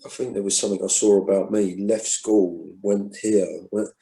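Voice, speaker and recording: A man's voice: on the low side, level tone, moderate pace, warm tone, reverberant, poor audio. A man, reflecting thoughtfully in a conversation, with many restarts. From England. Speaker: guest poet.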